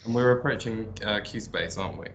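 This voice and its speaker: A man hosting a casual interview, friendly and softly spoken. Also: fairly low pitch, fairly quick. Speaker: network host. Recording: echoey room with faint hum.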